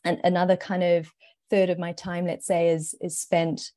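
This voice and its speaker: Low warm-voiced South African woman. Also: little pitch movement, normal speed, no room sound, studio quality. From Zimbabwe, raised in South Africa. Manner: hesitant, repeats words. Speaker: investment manager, panelist. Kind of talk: casual panel talk.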